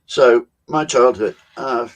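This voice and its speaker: A man, co-hosting a talk show on a video call and musing over his life in an unhurried way, with soft laughs and pauses. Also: mid-range voice, slight background noise, poor audio. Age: 70s. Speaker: co-host. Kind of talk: reflective personal storytelling.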